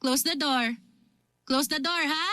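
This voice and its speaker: A woman's voice: high voice, takes it slowly, background noise. A woman acting an exasperated, responsible older sister and aunt in a Filipino radio drama, with an emphatic way of speaking. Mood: coaxing, gentle. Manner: scolding, emphatic, nagging.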